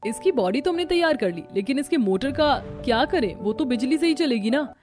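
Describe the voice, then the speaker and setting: Woman playing a high-voiced young cartoon daughter-in-law. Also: lively intonation, a little fast, darkish tone, soft background music. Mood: wondering. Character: young daughter-in-law, fretful about household hardship. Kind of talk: Hindi family cartoon acting.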